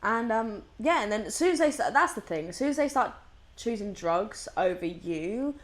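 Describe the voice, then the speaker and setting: A woman's voice: medium pitch, sing-song, moderate pace. A woman in her mid-twenties, a podcast guest in casual chat, talking freely with lots of fillers and frequent swearing. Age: mid-20s.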